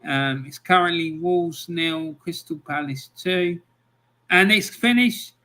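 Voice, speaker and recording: A man's voice: a little high, takes it slowly. A middle-aged man hosting a football live stream, chatting casually, reading out scores and viewer comments with plenty of ums. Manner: relaxed and chatty, with many ums.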